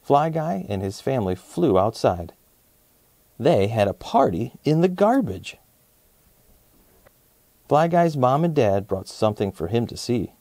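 A man in his thirties narrates a children's storybook with lively, swooping intonation and a mellow tone. He takes his time, in a dry, close-sounding room. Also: medium pitch, a little slow, long pauses, no room sound. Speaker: host of a children's story channel. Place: small indoor set, dry sound.

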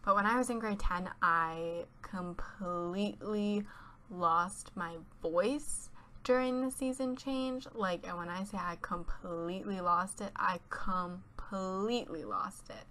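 A woman's voice: medium pitch, takes it slowly, bright, forceful tone, a little hiss or hum. A teenage girl chatting over a voice-over, rambling and giggly, stuffed up from a cold. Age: teens. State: congested from a cold. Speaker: YouTuber. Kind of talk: casual voice-over chat. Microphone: home voice-over mic.

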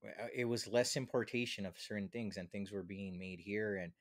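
A man's voice: on the low side, steady tone, normal speed, no room sound. A man in his thirties chatting casually on a podcast in a rambling, slangy way, close to a studio mic. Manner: rambling, slangy, peppered with "dude". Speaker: podcast host. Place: small home studio. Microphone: close studio mic on boom arm.

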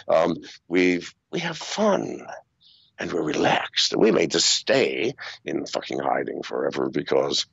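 An older man telling stories in an interview over a video call, swearing freely and talking in an animated way. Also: rumbling low, gravelly, breathy edge, no room sound, lo-fi sound. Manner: profane, animated storytelling with laughs. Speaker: interview guest. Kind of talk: casual interview storytelling. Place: small room with acoustic foam walls. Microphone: video call wearing over-ear headphones.

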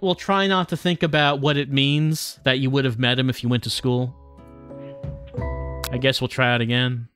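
A man's voice: mid-range voice, a bit bright, smooth. A man doing casual livestream commentary and reading game text aloud, in a wry, self-deprecating manner. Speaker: game streamer.

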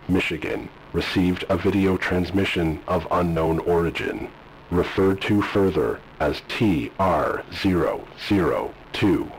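A man voicing a flat, official narrator briefing agents on a classified file. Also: low-pitched, a little hiss or hum, low-quality recording.

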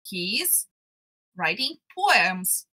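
Young woman on a headset mic in a video call, bright voice, talks slow and careful, little pauses for words. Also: medium pitch, sing-song. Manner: thoughtful, searching for words. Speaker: co-host. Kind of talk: casual reaction chat. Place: small room at home, video call.

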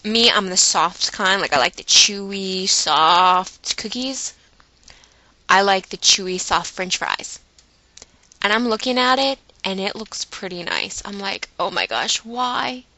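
A woman with a bright, forceful voice tells a story to her viewers like a chatty vlogger. She takes her time, leaning on words for dramatic effect and acting out her own inner dialogue. The sound is close and dry. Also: mid-range voice, lively intonation, slow pace, no echo. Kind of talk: animated storytelling monologue to viewers.

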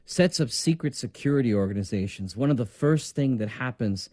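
An actor playing an older, matter-of-fact official in an audio drama, speaking in a measured, dry, explanatory way. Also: male voice, fairly low pitch, dark tone, no room sound, lightly acted.

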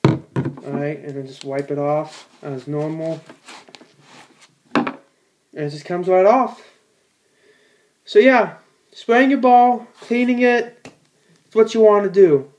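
A man's voice: high-pitched, slow pace, long pauses, darkish tone, slight background noise. A teenage boy in a small room at home, giving a casual how-to in a rambling, plain-spoken way with many fillers and restarts. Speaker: bowler demonstrating ball cleaning.

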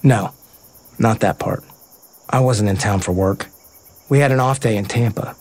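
A boyish, casual ballplayer. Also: male voice, on the low side, normal speed, a little mellow. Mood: nostalgic, sincere. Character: playful, sincere, boyish male baseball player. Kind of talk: naturalistic audio drama acting.

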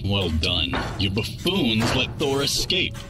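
A man's voice: fairly low pitch, big pitch swings, slow pace, loud music behind the voice. A man playing a pompous, exasperated robot boss in a video game, theatrical and scornful with underlings. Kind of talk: video game cutscene acting.

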